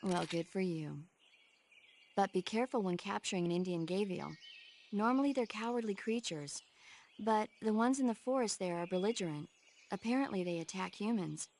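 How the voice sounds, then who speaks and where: An actress playing an earnest, knowledgeable support advisor in a video game, explaining things informatively. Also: female voice, mid-range voice, moderate pace, a little mellow, a little hiss or hum, natural voice. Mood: dry, incredulous. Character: knowledgeable female radio support advisor, earnest, easily exasperated.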